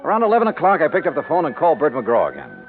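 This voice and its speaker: 50s radio gumshoe, clipped and shrewd, lively pitch swings, faint music, tinny sound. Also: male voice, rapid-fire. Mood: brisk narrating. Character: shrewd, world-weary male insurance investigator. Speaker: detective hero and narrator. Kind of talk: 1950s radio detective acting. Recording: band-limited old 1950s radio recording.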